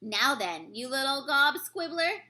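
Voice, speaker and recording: Woman putting on a booming, bright-edged bully giant. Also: very animated, unhurried, heavily acted. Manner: booming, taunting, mangled grammar. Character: huge bullying male man-eating giant, menacing.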